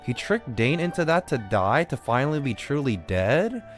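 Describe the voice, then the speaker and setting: A man's voice: medium pitch, sing-song, normal speed, soft background music. A man live streaming a game with unscripted commentary, arguing insistently, repeating himself and swearing casually. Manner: argumentative, repetitive, swears casually.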